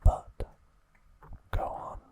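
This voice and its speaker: A man speaks in a soft, unvoiced whisper throughout as an ASMR host. His delivery stays slow, gentle and even, with only a brief aside now and then.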